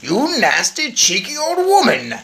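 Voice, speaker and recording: A woman voicing a loud, pompous, blustering middle-aged man. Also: sits low, expressive, unhurried, creaky, full character voice. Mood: angry blustering.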